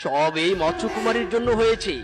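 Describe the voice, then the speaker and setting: A man's voice: high voice, brisk, music in the background, close to an everyday voice. A man voicing a proud, accusing merman prince in a cartoon fairy tale, haughty in manner. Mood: angry.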